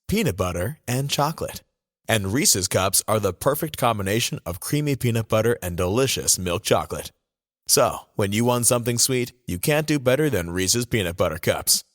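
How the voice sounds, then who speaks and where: A man's voice: lowish voice, lively intonation, moderate pace, no room sound, clean studio sound. A man delivering a scripted advertisement as an announcer. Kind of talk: scripted ad read.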